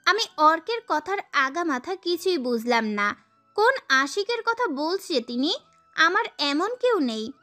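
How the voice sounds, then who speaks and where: A woman narrating a dramatic first-person story as a schoolgirl heroine, in an expressive way. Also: sits high, a little slow, faint music behind.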